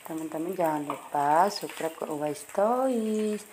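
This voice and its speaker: A woman's voice: low-pitched, lively intonation, a little slow, noisy background. A woman vlogging a walk through a toy stall, filming on a handheld phone and exclaiming over each toy to her viewers. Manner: enthusiastic, repetitive exclamations addressing friends. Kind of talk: toy shop walkthrough vlog. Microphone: handheld phone camera.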